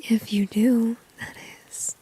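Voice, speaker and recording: A woman acting a confident, flirty, dominant villain in an ASMR roleplay, whispering softly and teasingly with smug self-assurance. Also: fairly high pitch, level tone, no echo, exaggerated voice, low-quality recording. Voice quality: breathy, soft whisper. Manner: slow, teasing, smug, coaxing.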